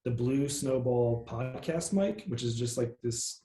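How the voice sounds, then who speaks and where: A man's voice: medium pitch, animated, measured pace, mellow. Nasal guy musician chatting loosely, lots of likes and ums, echoey room. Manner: easygoing, rambling with fillers. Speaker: musician guest. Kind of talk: casual interview chat.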